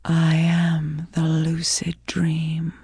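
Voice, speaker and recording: Game villain: monstrous old god, layered voices, grandiose menace, very slow, cavernous echo. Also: male voice, on the high side, completely flat delivery, creaky, darkish tone, full character voice. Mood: sinister, whispering. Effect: layered multiple voices with long reverb. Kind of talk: video game boss villain acting. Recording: distant, heavy hall reverb, music and roar behind.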